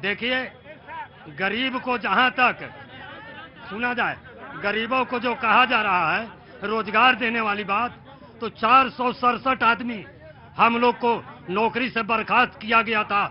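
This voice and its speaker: A man's voice: very high voice, steady tone, a little slow. A man airing an aggrieved complaint at a street vox pop. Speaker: dismissed worker voicing grievance. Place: outdoor street crowd. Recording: dull outdoor audio with crowd. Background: faint crowd.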